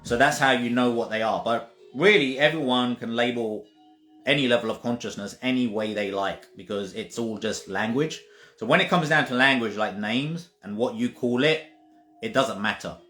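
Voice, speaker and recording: A man's voice: medium pitch, moderate pace, fairly bright tone. Man, spiritual teacher, emphatic casual monologue, repeats himself, roomy echo, faint music behind. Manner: emphatic, repetitive restarts, occasional swearing.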